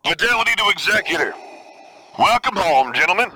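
A man performs a starship crew member on the comm, very high and piercingly bright. The delivery is clipped, urgent and brisk, through a noisy radio filter in an audiobook. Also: big pitch swings, heavily acted. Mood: proud announcing.

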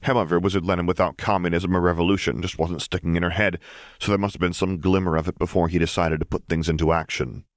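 A man's voice: deep voice, level tone, fast, dry recording, clean studio sound. A man reading scripted fiction as an audiobook narrator.

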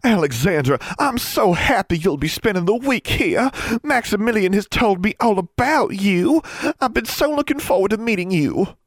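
A man's voice: high-pitched, lively intonation, moderate pace, no room sound, voice pushed up. A man voicing a bubbly, affectionate older teenage girl in an audiobook, with an effusive, gushing manner. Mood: excited, gushing. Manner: effusive, cooing, sing-song.

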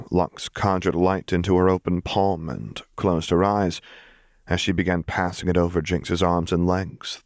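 A man's voice: deep voice, no echo, studio quality. A man narrating dramatic fiction as a scripted audiobook reading.

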